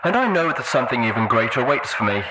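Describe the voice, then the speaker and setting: A man's voice: mid-range voice, very animated, fast talker, noisy background, voice pushed up, lightly acted. Man voicing a fervent, fawning mare follower.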